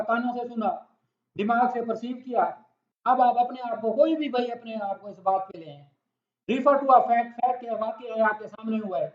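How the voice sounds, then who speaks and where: A man with a Pakistani accent gives an explanatory law lecture in a conversational way, putting questions to his listeners. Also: very high-pitched. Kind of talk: explanatory lecture with everyday examples. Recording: echoey room, dull lo-fi sound.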